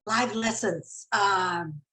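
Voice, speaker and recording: A woman's voice: very slow, rough recording. A woman from Colombia, speaking as a CEO on a business panel in an earnest, emphatic way. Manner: earnest, emphatic, with dramatic swings in pitch and loudness. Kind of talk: panel discussion talk.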